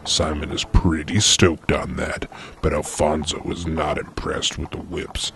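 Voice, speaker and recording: A man with an extremely deep, fry-laden voice reads comic stage directions as narrator. His delivery is deadpan and mock-dramatic, over clearly audible music. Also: measured pace. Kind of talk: comedic scripted narration, read like screenplay directions.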